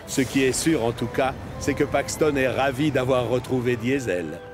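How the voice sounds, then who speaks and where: A man works as narrator for a children's animated series, reading a scripted story. His voice sits at a middle pitch, with music playing behind it. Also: normal speed.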